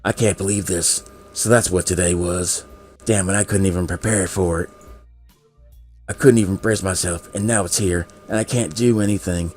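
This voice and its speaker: A paranoid male student, acted out by a man, rants like a panicky conspiracy nut in a visual novel. The voice is pushed higher and keeps circling back on itself in alarm. Music plays behind it. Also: fairly even intonation, normal speed, a little mellow, close to an everyday voice. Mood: panicked despair. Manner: alarmed, ranting, repeats himself.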